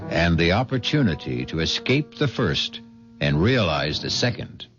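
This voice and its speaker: A man narrating a mystery radio drama as host, ominous and deliberate in a theatrical scripted style. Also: low voice, sing-song, a bit bright. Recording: dull vintage radio recording. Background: music.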